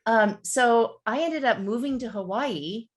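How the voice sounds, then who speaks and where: A woman in her fifties, a guest on an interview show, telling her story in a chatty, animated way and talking to listeners directly. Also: on the high side, a little slow. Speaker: guest, real estate broker.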